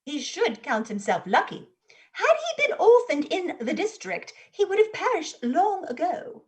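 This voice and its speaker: Shrill-pitched, fawning, cruel matron. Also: female voice, very animated, measured pace, darkish tone, full character voice. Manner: obsequious, affected, theatrical. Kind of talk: dramatized stage play reading.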